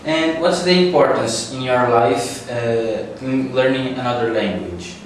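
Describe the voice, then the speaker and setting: A man's voice: mid-range voice, unhurried. A man asking simple interview questions with short acknowledgements. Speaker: student interviewer. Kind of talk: class project interview. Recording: roomy echo, distant mic, steady noise.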